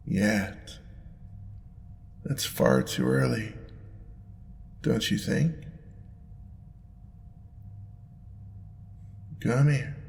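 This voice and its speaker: Man playing a slow, gravelly, teasing demon lord. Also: darkish tone, exaggerated voice. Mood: amused, coaxing. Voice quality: deep, gravelly. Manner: playful, purring, drawn-out teasing. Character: teasing, smug, indulgent male demon lord. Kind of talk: audio roleplay acting. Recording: long reverb, clear background noise.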